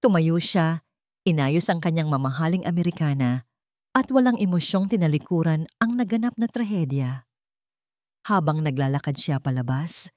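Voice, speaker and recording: A woman with a Filipino accent reads a scripted dramatic story in measured, short phrases. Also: lowish voice, darkish tone, no echo, studio quality.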